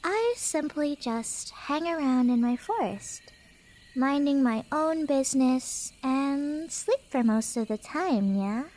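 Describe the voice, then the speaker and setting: Woman playing a high-voiced, teasing Cheshire cat girl. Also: animated, slow, a little mellow, poor audio. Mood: playful, smug.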